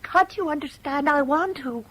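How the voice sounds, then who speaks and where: An actress playing a devoted, earnest confidante in a 1970s radio drama, speaking in a proper, solicitous way. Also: female voice, high-pitched, normal speed, fairly bright tone. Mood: hurt, insistent. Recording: noisy 1970s radio broadcast recording.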